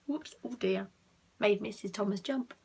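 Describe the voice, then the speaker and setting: A woman reading a picture book aloud to children, expressive, with asides to the listeners and hushed tones for effect. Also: medium pitch, moderate pace, creaky, husky. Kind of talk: animated read-aloud with asides to young listeners.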